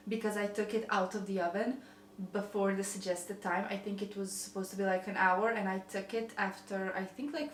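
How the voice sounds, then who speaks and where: A woman's voice: mid-range voice, echoey room, slight background noise. A young woman with a Croatian accent, chatting casually and rambling a little while she bakes at home, often laughing at herself. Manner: chatty, rambling, self-deprecating. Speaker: vlogger. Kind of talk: casual kitchen chat. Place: home kitchen.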